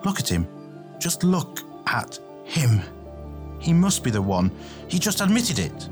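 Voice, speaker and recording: A man voicing a brash, hot-tempered young male warrior in an audiobook, blunt, quick and excitable. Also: on the low side, music in the background, lightly acted. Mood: angry. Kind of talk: acted audiobook dialogue.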